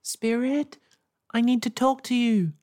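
A man's voice: very high voice, fairly even intonation, a little slow, mellow, voice pushed up. A man voicing a brave, curious little girl in a storybook, calling out with urgency. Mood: urgent.

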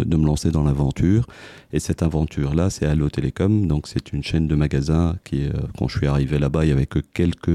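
A man in his forties with a very low, dark voice, recorded close on a dry mic with no room sound. He talks casually as a podcast guest, stopping often to restart his sentences and fill gaps. He comes from Tunisia. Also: vocal fry, dry recording. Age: 40s. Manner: hesitant, many fillers and restarts. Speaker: podcast guest, business owner. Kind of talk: casual interview.

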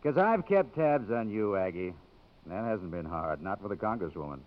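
An actor playing a suave, persistent old flame with sardonic, bantering talk, in 1950s American radio drama style. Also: male voice, on the low side, animated, normal speed, lightly acted. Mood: pressing. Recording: muffled 1950s radio broadcast recording.

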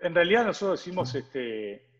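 A man's voice: fairly high pitch, sing-song, normal speed. A man answering interview questions in a conversational way, as a business guest. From Uruguay. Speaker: advertising agency partner, interview guest. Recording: dull audio with long reverb.

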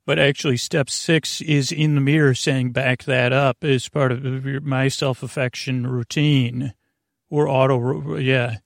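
A man hosting a bedtime podcast with a creaky, gravelly voice, meandering and halting in a goofy, self-deprecating monologue that keeps going off topic. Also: medium pitch, level tone, dry recording, studio quality. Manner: meandering, halting, goes off topic mid-sentence. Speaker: podcast host.

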